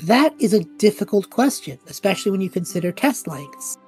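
A woman voicing a cheerful, excitable and earnest young male student in an audio drama. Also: medium pitch, a little fast, soft background music, lightly acted.

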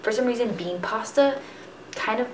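A woman's voice: on the high side, normal speed, noisy background. Chatty young woman in an echoey kitchen. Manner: chatty, explaining step by step. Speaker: fitness vlogger. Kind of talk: casual cooking vlog. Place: home kitchen, indoors. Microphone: camera on the counter. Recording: echoey kitchen with music underneath. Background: background music.

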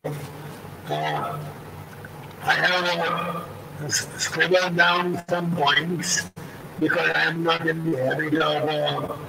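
An older man from India with a Gujarati-influenced accent, reading a prepared talk on a video call in a slow, halting way. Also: fairly high pitch, animated, creaky, echoey room, recorded from a distance, heavy background noise. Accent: Gujarati-influenced English. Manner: slow and halting, with many uh pauses. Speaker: sculptor and panel speaker. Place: room at home, indoors. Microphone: laptop mic on a video call.

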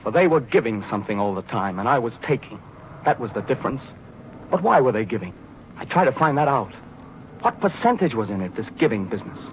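An actor playing a brash, cynical young American navy pilot turned idealist, in an intense, theatrical 1940s radio drama monologue. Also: male voice, medium pitch, sing-song, fairly quick, close to an everyday voice. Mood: brooding, searching. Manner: intense, theatrical, searching delivery. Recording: noisy, dull 1940s radio recording.

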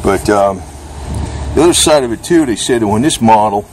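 Man, low pitch, casual rambling reviewer, slangy and mildly profane, outdoor handheld recording, background noise. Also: animated, moderate pace, darkish tone, low-quality recording. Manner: informal, slangy, mildly cursing. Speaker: product reviewer.

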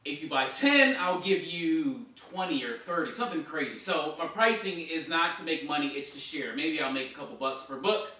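Bright, animated man in an echoey room. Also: a little high, normal speed, few pauses, far from the mic. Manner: animated, gesturing with both hands. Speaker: blogger and author. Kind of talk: casual vlog talk to camera. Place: indoor dining room.

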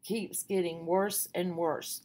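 A woman's voice: lowish voice, fairly even intonation, slow, darkish tone. A woman reading a storybook aloud and voicing a distressed, crying mother. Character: worried mother.

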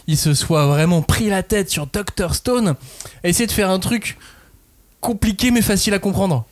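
A man hosting a podcast, leading a relaxed round-table with many hesitations. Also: high voice, normal speed. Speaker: podcast host. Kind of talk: casual podcast discussion.

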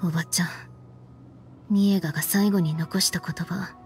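An actress playing a stern, frank young woman in an anime game, speaking in a firm, reproachful, deliberate way. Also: fairly low pitch, fairly even intonation, darkish tone, soft background music, natural voice. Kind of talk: anime game voice acting, dramatic dialogue.

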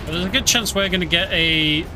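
A man streaming a game, explaining the story and fights in a relaxed, chuckling way. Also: high-pitched, brisk. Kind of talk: casual game commentary. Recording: game music and sounds behind. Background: game music.

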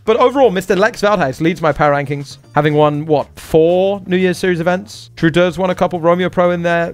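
Faint music plays behind a man with a fairly high-set voice. He hosts a live poker stream and commentates on each decision as he goes. He leans on short, repeated catchphrases between his explanations. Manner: talks through hands aloud, repeats short catchphrases.

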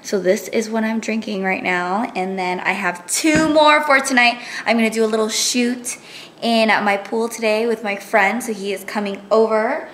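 A young woman in her twenties chatting to a handheld camera at arm's length, a little raspy from just waking, upbeat with many fillers. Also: fairly high pitch, fairly even intonation, moderate pace, a bit bright, a little hiss or hum. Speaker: vlogger. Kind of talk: casual vlog chat to camera. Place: apartment rooms, gym and car. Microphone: handheld fisheye action camera at arm's length.

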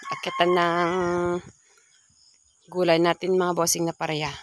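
A man's voice: high-pitched, little pitch movement, a little slow, a bit bright. Chatty young man on a noisy farm. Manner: chatty, repeatedly addresses viewers as bosses. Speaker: farm vlogger. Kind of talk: casual tour narration to viewers. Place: outdoor backyard pig pen on a farm. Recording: noisy outdoor phone recording with roosters. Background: roosters crowing and chickens clucking.

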